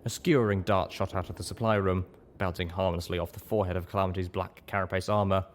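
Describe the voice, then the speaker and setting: A man's voice: sits low, fairly even intonation, quick pace, slight background noise. A man reading scripted story narration as an audiobook narrator.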